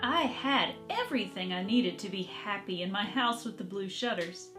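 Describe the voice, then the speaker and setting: A woman voicing a longing captive housecat who tells its own story in a children's book, dreamy, deliberate and dramatic. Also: mid-range voice, expressive, over loud music, voice pushed down, close to an everyday voice. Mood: wistful.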